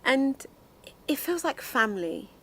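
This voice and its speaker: A woman's voice: high voice, very animated, unhurried, rough recording. A middle-aged woman talking candidly in an interview. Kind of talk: casual interview answers.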